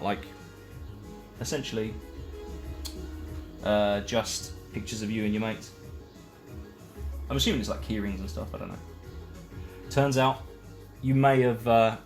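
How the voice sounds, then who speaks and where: A man with a lowish voice chats away while he paints and glues at his workbench. He rambles from one aside to the next and pokes fun at himself. Faint music plays behind him. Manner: rambling, self-deprecating, talks while working. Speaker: streamer building a case. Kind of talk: casual live-stream chat while crafting.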